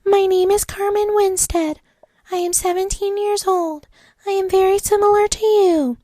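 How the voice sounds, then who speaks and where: A woman voicing a monotone teenage girl from a creepy chain-letter audio, speaking in a flat, even drone. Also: very high voice, normal speed, no room sound, studio quality, voice pushed up. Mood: eerie monotone.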